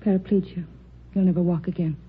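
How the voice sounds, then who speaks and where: Woman acting flat, quick-speaking hospital nurse. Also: fairly low pitch, natural voice. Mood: grave, clinical. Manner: flat, clinical. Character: businesslike female county hospital nurse. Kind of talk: 1950s radio drama acting. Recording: tinny old radio recording, faint noise.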